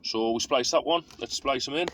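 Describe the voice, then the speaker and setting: A man's voice: mid-range voice, brisk, a bit bright. A man giving a casual, self-deprecating hands-on demonstration, thinking aloud in an informal way as he works in a car. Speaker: car DIY video host. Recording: clear background noise.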